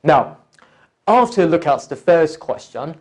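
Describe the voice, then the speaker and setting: A man working as an online course tutor, explaining step by step in a deliberate, instructional lecture style and addressing the learner directly. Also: a little high, very animated. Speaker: accounting exam course tutor. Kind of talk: explanatory lecture, talking through examples.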